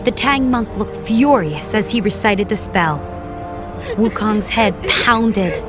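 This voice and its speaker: A woman reads a children's tale as its narrator. Her mid-pitched voice is measured and expressive, shaped for young learners. Soft music plays underneath. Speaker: storyteller, narrator. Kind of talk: scripted children's story narration.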